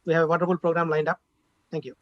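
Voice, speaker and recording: A man's voice: fairly high pitch, level tone, rapid-fire. A man, a school director giving a hesitant event speech over a video call. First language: Telugu. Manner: hesitant, many fillers. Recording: dull, dry video call audio.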